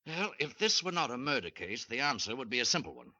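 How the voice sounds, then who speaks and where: An actor playing a calm, questioning psychiatrist in a 1940s radio drama, speaking in a measured, lecturing way. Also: male voice, medium pitch, no room sound, exaggerated voice. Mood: probing. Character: calm, probing male psychiatrist, middle-aged. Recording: dull lo-fi vintage radio recording.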